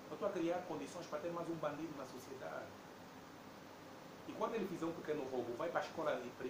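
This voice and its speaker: A man with an Angolan Portuguese accent, speaking at a public debate with emphatic rhetorical questions. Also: mid-range voice. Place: debate hall indoors. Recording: echoey room with background noise.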